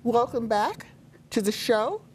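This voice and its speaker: Older female talk host, lively voice, studio lapel mic. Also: slow pace, breathy edge. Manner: laughs often, lively animated pitch. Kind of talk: warm studio interview chat. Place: TV studio with dark curtains.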